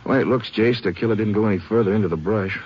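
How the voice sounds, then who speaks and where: A man's voice: on the low side, fast talker, close to an everyday voice. A man playing an easygoing, folksy Texas Ranger in a 1950s radio western drama. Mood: serious, puzzled. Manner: folksy, plain-spoken. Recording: muffled, noisy 1950s radio recording.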